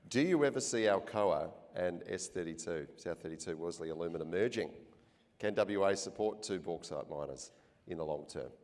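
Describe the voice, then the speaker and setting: A man hosting an on-stage interview, asking questions in a relaxed, conversational way with many fillers. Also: low-pitched, moderate pace. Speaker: event host interviewer. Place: large function hall. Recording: long hall reverb.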